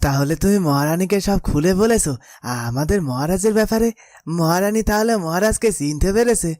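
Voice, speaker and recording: A man's voice: high-pitched, normal speed, a little mellow, dry recording, studio quality, lightly acted. A man voicing a loyal, tender-hearted royal servant in a fairytale cartoon, speaking earnestly and with sympathy. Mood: relieved. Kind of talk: Bengali fairytale cartoon dialogue.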